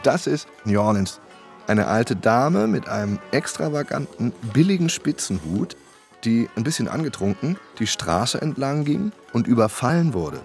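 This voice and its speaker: An actor playing a wry, bitter storyteller in a radio drama monologue. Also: male voice, medium pitch, big pitch swings, moderate pace, vocal fry, darkish tone, faint music behind. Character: wry, bitter male storyteller portraying a battered city.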